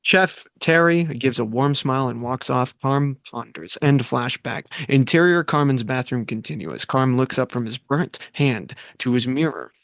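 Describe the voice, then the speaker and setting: A man reads the stage directions at a table read. His voice is mid-pitched and gravelly. The sound is dry and close to the mic. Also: animated, a bit bright, no echo. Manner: plain, quick read with slight stumbles. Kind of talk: reading screenplay directions.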